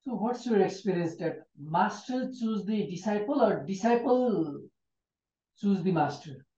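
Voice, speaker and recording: Interview host: man, English, mellow tone, fragmented phrasing, dull roomy sound. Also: high-pitched, expressive. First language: Nepali. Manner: halting, fragmented non-native English. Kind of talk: casual interview questioning. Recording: muffled, distant, echoey room.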